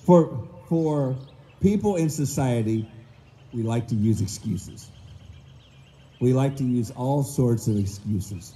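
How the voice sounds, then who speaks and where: Hoarse, very dark-voiced male preacher. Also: mid-range voice, lively intonation. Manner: acts out excuses in quick voices, slow emphatic stresses. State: hoarse, voice gone low. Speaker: minister. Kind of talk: sermon. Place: open park pavilion outdoors. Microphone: mic on a stand. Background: faint outdoor ambience with birds.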